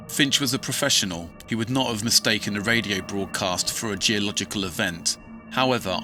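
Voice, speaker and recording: A man playing a grave, methodical investigator, narrating a scripted horror case log in a clinical, measured, portentous way. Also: fairly low pitch, natural voice. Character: grave, methodical male paranormal investigator logging case files. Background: music.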